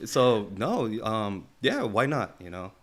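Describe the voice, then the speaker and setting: A man's voice: on the low side, big pitch swings, slow pace. A man co-hosting a podcast in a casual chat, philosophizing in slangy, profane language and often checking for agreement. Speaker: podcast co-host.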